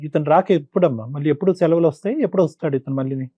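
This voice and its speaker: A man's voice: on the high side, racing, music in the background. A middle-aged man hosting a counselling talk, asking probing questions in a paternal way and rephrasing points. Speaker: talk show host counsellor.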